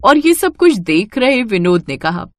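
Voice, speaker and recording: A woman narrating a scripted moral story in an expressive storytelling manner. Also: medium pitch, measured pace, no echo, studio quality.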